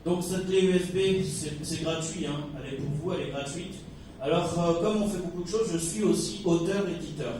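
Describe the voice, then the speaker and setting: A man's voice: a little high, moderate pace, a little mellow. A man from Kabylie in Algeria giving an informal sermon to a congregation, chatty, with joking asides and many hesitations. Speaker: guest preacher. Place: church hall. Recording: echoey hall from far off, noisy.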